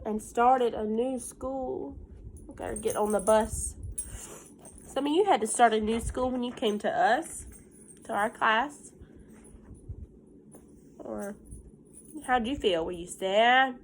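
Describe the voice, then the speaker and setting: A woman with a fairly high voice reads a picture book to young pupils at a very slow pace. She stretches and stresses key phrases like a teacher. She talks to the children directly in simple, encouraging words. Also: a little hiss or hum, poor audio. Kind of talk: animated picture-book read-aloud with warm asides to children.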